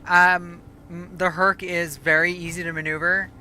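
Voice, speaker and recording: A woman's voice: on the low side, flat delivery, normal speed, sharp tone, a little hiss or hum. A woman streaming a game, chatting casually and rambling, correcting herself as she goes, her voice starting to go. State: losing her voice. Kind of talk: casual live gameplay chat.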